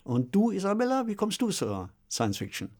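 A man's voice: sits high, wildly swooping pitch, normal speed, a little mellow. A man in his sixties presenting a podcast conversationally, explaining and recounting things like a storyteller. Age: 60s. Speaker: podcast co-host; science fiction author.

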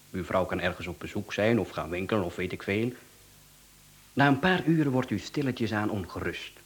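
Radio play villain: smooth Flemish swindler and killer for hire, fairly low voice, impeccably polite, never flustered. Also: male voice, fairly bright tone. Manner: polite, businesslike, mocking politeness. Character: smooth, courteous male contract killer and swindler, unflappable.

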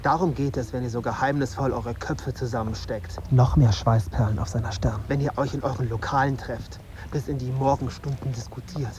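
An actor in a German radio drama playing an embittered young journalist, brooding and suspicious, with an intense, insistent manner. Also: male voice, mid-range voice, fairly even intonation, fairly quick, darkish tone, slight background noise, close to an everyday voice. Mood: brooding narration. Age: young adult. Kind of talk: radio drama acting with first-person narration.

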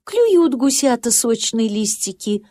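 Woman reading a kids nature tale, mellow lowish voice, super lilting, dry studio sound. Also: very animated, takes it slowly, no echo. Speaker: narrator. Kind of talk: expressive children's storybook narration.

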